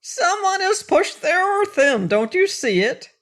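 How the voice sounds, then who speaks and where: A woman's voice: very high-pitched, very animated, a little slow, fairly bright tone, voice pushed up, full character voice. A woman doing a whiny, fussy little man. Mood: alarmed. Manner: whining, fretful, wailing. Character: fussy, complaining, self-important little man.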